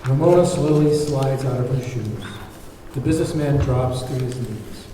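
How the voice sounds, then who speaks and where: A man's voice: mid-range voice, normal speed, warm tone. A man narrating the stage directions of a script at a table read. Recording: very echoey hall, far mic, faint noise.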